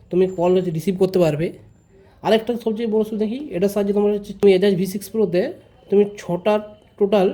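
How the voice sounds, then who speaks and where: A man's voice: high voice, warm tone. A man giving a casual step-by-step tutorial, speaking informally to viewers and often repeating his phrases. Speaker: vlogger presenting a tutorial.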